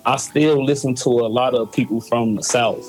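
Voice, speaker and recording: A young man with a Chicago accent, speaking at a middling pitch in an easygoing, halting way. He falls back on casual slang and trails off mid-thought. Faint music plays under his voice. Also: fairly even intonation, a little mellow, rough recording. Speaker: rapper guest.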